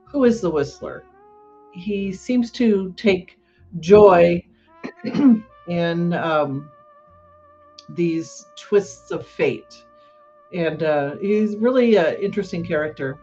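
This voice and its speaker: A woman hosting a podcast, giving casual solo commentary in a halting way. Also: lowish voice, mellow, highs cut off, soft background music. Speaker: podcast host.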